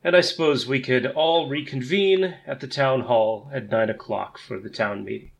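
A man playing a tactful organiser in a tabletop role-playing game, with measured, slightly formal wording. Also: mid-range voice, creaky, close to an everyday voice. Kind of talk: improvised tabletop role-play.

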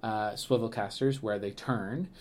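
A man's voice: on the low side, steady tone, measured pace. Chatty man co-hosting a podcast. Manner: chatty and rambling, with frequent fillers, restarts and laughs. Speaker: podcast co-host, museum staff. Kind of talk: casual podcast chat. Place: Canada.

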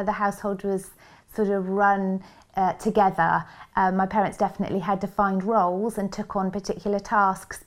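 A woman speaks in an even, flat tone, laying out her experience thoughtfully. Her intonation barely moves, giving a steady, composed delivery. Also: mid-range voice. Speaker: married guest sharing her experience. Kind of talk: reflective personal testimony.

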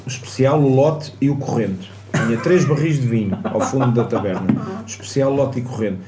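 A man's voice: medium pitch, moderate pace, crowd noise. A man with a European Portuguese accent telling kitchen stories in casual chat, with chuckles and asides. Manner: storytelling, chuckling, drifting into asides. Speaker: chef guest recounting childhood. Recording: echoey room with faint noise.

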